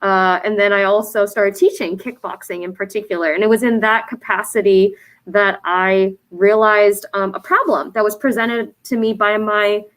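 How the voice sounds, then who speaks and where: A woman's voice: mid-range voice, steady tone, normal speed, low-quality recording. Earnest woman founder, thoughtful. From United States. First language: Vietnamese. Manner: earnest, reflective, many soft fillers. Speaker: brand founder, guest. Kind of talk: interview answers.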